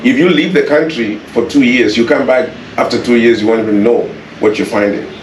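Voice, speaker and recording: A man's voice: mid-range voice, brisk. A man with a Kenyan accent answering interview questions in an earnest, advice-giving way, repeating phrases for emphasis. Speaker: interview guest, property developer. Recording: echoey, distant mic, faint background noise.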